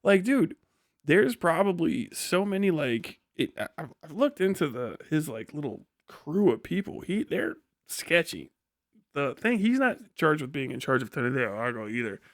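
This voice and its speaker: A man giving casual, rambling live commentary as a stream host, slangy and animated, acting out imagined exchanges. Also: on the high side, moderate pace, no room sound, studio quality. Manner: often chuckles mid-sentence. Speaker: livestream host and political commentator.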